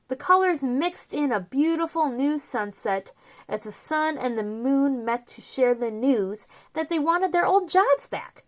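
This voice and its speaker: Female picture-book narrator, bright-pitched and very lively in intonation, expressive and theatrical. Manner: theatrical, drawn-out emphasis on key words. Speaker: children's storyteller, host.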